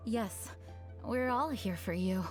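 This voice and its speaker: Slow-spoken, thoughtful companion. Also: female voice, fairly high pitch. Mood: reassuring. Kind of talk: English video game voice acting. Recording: music playing behind.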